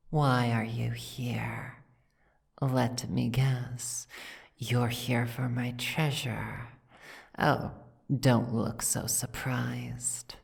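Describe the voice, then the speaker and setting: A woman playing an imperious, seductive dragon aristocrat in an ASMR roleplay, with languid, commanding, formal speech and a breathy, gravelly voice. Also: extremely low pitch, darkish tone, heavily acted. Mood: cool, menacing. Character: imperious seductive female dragon, possessive aristocratic mansion owner. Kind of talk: ASMR roleplay, scripted second-person acting.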